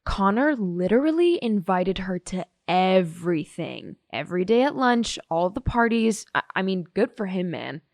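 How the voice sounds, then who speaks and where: A woman's voice: medium pitch, sing-song, normal speed, few pauses, a little mellow, no room sound, clean studio sound. A woman acting a blunt, dismissive, jaded teenage girl testifying in an audio drama. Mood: exasperated. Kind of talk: audio drama monologue, police interview testimony.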